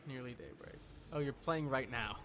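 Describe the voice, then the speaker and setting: A man chatting casually while livestreaming a video game, rambling and thinking out loud in an unsure way. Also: mid-range voice, normal speed, noisy background.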